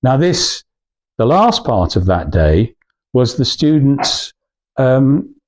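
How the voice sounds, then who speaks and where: A man's voice: medium pitch, very animated, slow pace, darkish tone. A man giving an informal talk as a guest speaker, rambling and anecdotal, often restarting his phrases as he searches for words. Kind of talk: informal talk to a small audience.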